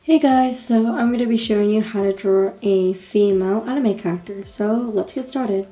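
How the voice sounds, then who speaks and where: A woman with a croaky, fading voice talking viewers through a drawing tutorial, chatty and repeating her steps. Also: medium pitch, normal speed, dark tone. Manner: chatty, repeats instructions with fillers. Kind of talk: casual step-by-step drawing tutorial.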